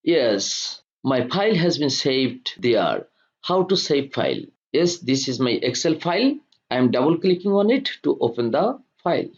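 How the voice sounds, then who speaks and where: A man narrating a step-by-step software tutorial in short, deliberate instructional phrases. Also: mid-range voice, very animated. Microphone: voice-over for screen recording. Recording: dull lo-fi voice-over.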